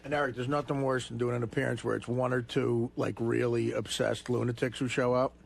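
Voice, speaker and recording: A man's voice: medium pitch, little pitch movement, fairly quick, creaky, a little hiss or hum. A man who is a comic sidekick on a radio show, trading crude, needling banter in a casual way. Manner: mocking, needling.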